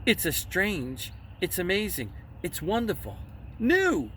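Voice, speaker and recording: A man voicing excitable, greedy hunters in a children's storybook, exclamatory and emphatic. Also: high voice, takes it slowly, voice pushed up, exaggerated voice. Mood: excited, scheming. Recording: distant mic with faint background noise.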